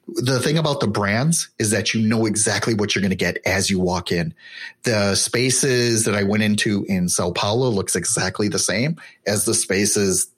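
A man talking in a relaxed way as an interview guest about running a remote team, with lots of "you know" and "right?". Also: on the low side, husky. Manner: conversational, with many fillers. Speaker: remote business owner, guest. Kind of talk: casual interview chat.

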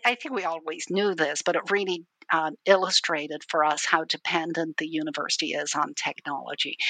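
A woman's voice: sits low, a bit bright, no echo, rough recording. A woman from Ireland, a university IT chief, explaining her work at length in a casual interview, with frequent restarts.

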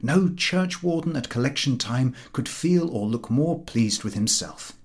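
Male audiobook reader, mid-pitched, highly expressive intonation, theatrical delivery of humorous writing, pauses for emphasis. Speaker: audiobook narrator. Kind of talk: expressive scripted narration of comic prose.

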